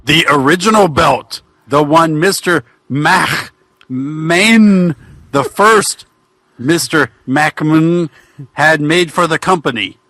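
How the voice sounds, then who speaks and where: A man's voice: on the high side, slow pace, pushed and bright, no room sound, exaggerated voice. A man voicing the ghost of a dim, folksy wrestler in a rambling, bumbling comic reading of fan fiction. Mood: eager, bumbling. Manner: rambling, bumbling, colloquial delivery.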